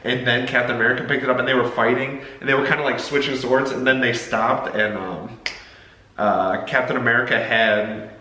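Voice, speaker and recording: A man's voice: mid-range voice, steady tone, fairly quick, sharp tone. A man chatting casually about a film, giving blunt opinions with plenty of filler words. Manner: opinionated, filler-heavy with frequent "like". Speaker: co-host of a family video channel. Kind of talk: casual chat reviewing a film. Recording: roomy echo, faint background noise.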